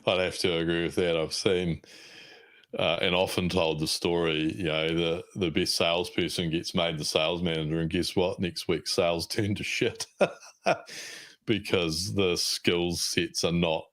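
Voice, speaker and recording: A man's voice: deep voice, little pitch movement, a little slow, a bit bright. A man from New Zealand with a New Zealand accent, hosting a podcast interview in a folksy, rambling way, full of uhs. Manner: folksy, colloquial, uh-filled rambling. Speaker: podcast host. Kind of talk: casual interview talk.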